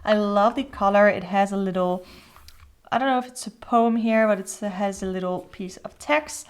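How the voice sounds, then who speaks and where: A woman's voice: medium pitch, normal speed, smooth. A woman with a Dutch accent, a native Dutch speaker who talks in English as a tarot host. She chats casually and rambles with fillers and restarts while showing a deck. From Netherlands. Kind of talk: casual deck flip-through.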